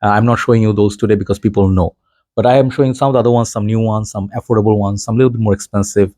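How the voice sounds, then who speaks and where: A man's voice: on the low side, steady tone, racing, warm tone, no echo, studio quality. A man from Bangladesh giving a rambling, chatty fragrance review to his channel, filling gaps with "you know" and laughing between points. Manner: frequent fillers. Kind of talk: casual chat to camera. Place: home in Dhaka.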